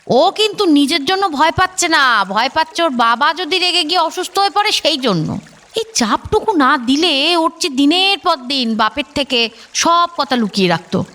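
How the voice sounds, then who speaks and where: Bright, high-pitched, protective embittered aunt. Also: female voice, animated, normal speed. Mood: explaining. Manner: emphatic, admonishing. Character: embittered, firm, protective paternal aunt to her niece. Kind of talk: Bengali radio drama acting.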